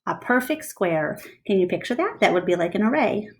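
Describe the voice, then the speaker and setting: A woman reading a storybook aloud expressively, like a teacher, pausing to explain things to listeners. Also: mid-range voice, very animated.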